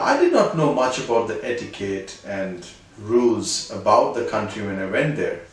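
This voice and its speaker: Middle-aged Indian English male storyteller, lively voice, recorded with a lot of echo. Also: medium pitch. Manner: animated, conversational, moral at the end. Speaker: storyteller host. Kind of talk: personal anecdote storytelling. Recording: very echoey room, distant mic, faint music.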